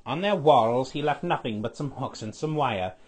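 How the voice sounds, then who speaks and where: A man playing a theatrical cartoon villain who narrates a rhyming children's storybook in a raspy, dramatic, emphatic voice. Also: mid-range voice, lively intonation, normal speed. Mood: narrating, menacing. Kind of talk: scripted storybook narration in a character voice.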